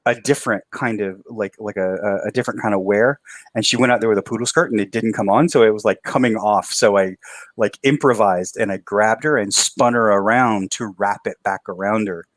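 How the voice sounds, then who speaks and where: A man with a baritone voice and a bit of a twang, telling rambling stories in a casual late-night stream chat. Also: brisk, no echo. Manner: rambling storyteller, restarts a lot. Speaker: stream co-host.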